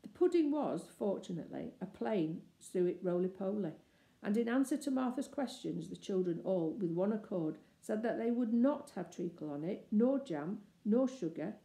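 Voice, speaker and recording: A woman reading a children's story aloud as expressive narration. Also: mid-range voice, moderate pace, vocal fry. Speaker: storybook reader. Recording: roomy, echoey indoor sound.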